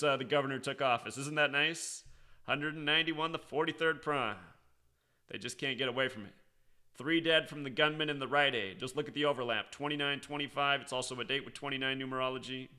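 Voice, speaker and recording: A man's voice: fairly high pitch, fairly quick, sharp tone, clear, resonant tone. An American man giving unscripted online commentary in an insistent, sarcastic manner, repeating key words for emphasis. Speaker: online commentator and video host.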